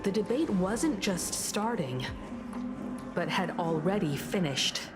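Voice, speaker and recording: A woman's voice: on the low side, animated, slow. An actress voicing an alert young woman sensing danger in a game. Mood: urgent. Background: game music.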